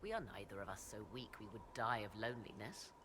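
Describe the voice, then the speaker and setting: A woman's voice: a bass voice, sing-song, quick pace, noisy background. An actress playing a proud, aloof female witch and mother in a fantasy video game cutscene. She speaks in formal, archaic diction with a haughty, dry manner.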